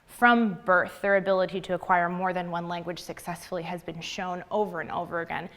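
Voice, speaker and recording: A young adult woman from the United States giving a prepared public talk in an earnest, measured way with deliberate pauses. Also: fairly low pitch, steady tone, a bit bright. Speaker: graduate student speaker.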